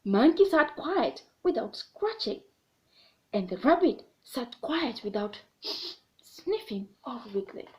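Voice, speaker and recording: A woman reading a children's book aloud in an expressive, animated storytelling manner, pausing so a child can join in. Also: fairly high pitch, low-quality recording.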